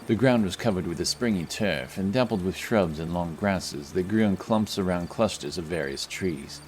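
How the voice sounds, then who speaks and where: A man's voice: deep voice, normal speed, a little hiss or hum. A man reading scripted narration for a fantasy story as its narrator.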